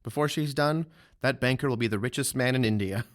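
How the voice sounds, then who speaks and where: A man's voice: medium pitch, brisk, natural voice. A man playing a composed military captain in an audio drama, courteous and understated. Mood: relieved, musing. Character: composed military captain awaiting his wife.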